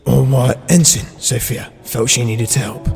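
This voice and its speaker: An actor playing a stern, impatient male superior officer with a gravelly voice in an audio drama. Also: medium pitch, fairly even intonation, measured pace, darkish tone, big-room sound, faint music behind. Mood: stern, annoyed.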